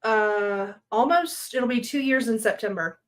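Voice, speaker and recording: A woman's voice: a little high, measured pace. A woman on a podcast call as a paranormal investigator guest, laughing often and chiming in. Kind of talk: casual chat.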